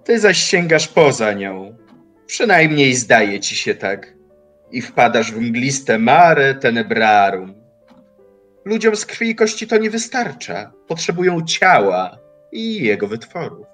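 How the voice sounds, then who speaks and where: An actor playing a calm, mocking old watchmaker and philosopher in an audio drama, speaking in deliberate, formal phrases. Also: male voice, medium pitch, faint music behind, heavily acted. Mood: patronising, philosophical. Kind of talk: audio drama acting, literary dialogue.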